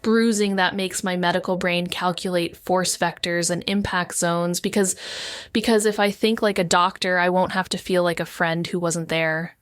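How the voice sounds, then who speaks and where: A woman's voice: lowish voice, steady tone, normal speed, clear, resonant tone, no room sound, clean studio sound, lightly acted. An actress playing a wounded, avoidant woman, narrating a noir audio drama in an introspective, brooding way. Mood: pained, haunted. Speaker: narrating character.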